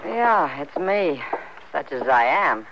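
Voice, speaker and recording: This is a wry, friendly frontier woman. Her delivery is plain-spoken and worldly, with very lively intonation. The old radio western recording is dull and lightly noisy. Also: deep voice, takes it slowly, heavily acted. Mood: wry, bothered.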